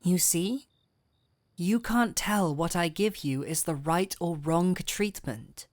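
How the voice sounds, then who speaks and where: A woman playing a gentle but firm fantasy physician, calm and wry, in an intimate audio roleplay with measured, coaxing delivery. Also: lowish voice, no room sound, studio quality. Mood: coolly challenging. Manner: measured, coaxing, wryly stern. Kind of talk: intimate scripted audio roleplay addressed to the listener.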